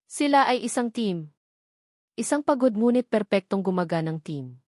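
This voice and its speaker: A woman's voice: medium pitch, animated, moderate pace, darkish tone, no room sound, studio quality. A woman with a Filipino accent reading a scripted romance story as a dramatic narrator.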